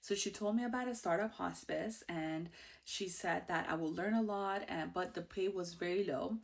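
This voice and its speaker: A woman's voice: on the low side, normal speed, creaky. Bubbly Iranian-accented woman host. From Iran. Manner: bubbly, outgoing, laughs easily. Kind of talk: casual personal monologue.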